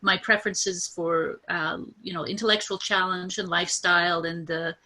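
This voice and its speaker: A nervous woman explaining her business idea on a video call, halting and full of fillers and restarts. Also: on the low side, flat delivery, sharp tone, poor audio. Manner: hesitant, many fillers and restarts. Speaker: coaching client.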